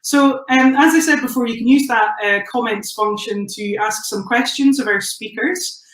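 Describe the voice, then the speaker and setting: A woman's voice: fairly high pitch, normal speed, roomy sound, poor audio. Chatty Scottish woman hosting live. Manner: bright, chatty and welcoming. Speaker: host. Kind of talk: live-streamed event hosting.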